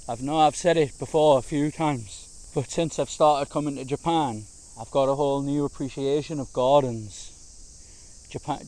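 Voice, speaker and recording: A man vlogging as he walks through gardens in the heat, his voice slightly hoarse, talking in a chatty, slangy, easygoing way. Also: mid-range voice, darkish tone. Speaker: travel vlogger. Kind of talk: casual walking vlog narration. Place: outdoors in large city gardens, walking in heat.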